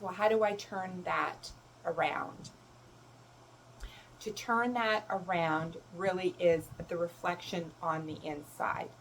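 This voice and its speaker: A woman whose was German, speaking as a self-love coach in a gentle, reflective talk. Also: on the low side, slight background noise, poor audio. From Canada. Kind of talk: reflective solo talk to camera.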